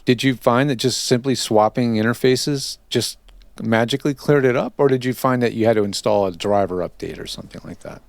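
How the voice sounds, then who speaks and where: A man's voice: mid-range voice, brisk, gravelly, no echo. A man hosting a podcast in casual interview chat, explaining things in a chatty way. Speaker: podcast host and audio tech interviewer.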